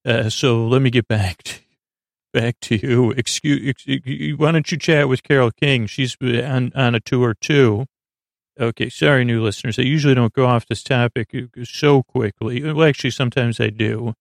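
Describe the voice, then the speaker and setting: A man with a creaky voice hosting a sleep podcast, meandering and hesitant as he drifts into tangents to lull listeners. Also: medium pitch, steady tone, moderate pace, dry recording, clean studio sound. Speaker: podcast host. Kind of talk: rambling bedtime monologue meant to lull listeners to sleep.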